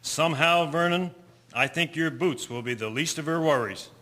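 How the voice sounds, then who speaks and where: A man's voice: a little high, moderate pace, natural voice. An actor playing a concerned, grateful father in an audio drama, earnest and used to giving orders. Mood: grateful, tender. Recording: reverberant, faint noise and clicks.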